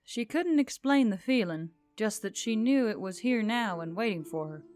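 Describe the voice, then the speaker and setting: Woman storyteller, kinda high voice, reading fantasy fiction, bit of music underneath. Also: expressive, moderate pace, a little mellow, no room sound. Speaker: narrator. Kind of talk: scripted narration of a fantasy story.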